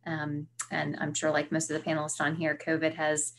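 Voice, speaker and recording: A woman's voice: deep voice, monotone, fairly quick, slight background noise. A woman introducing herself as a panelist on a webinar, speaking hesitantly and repeating words.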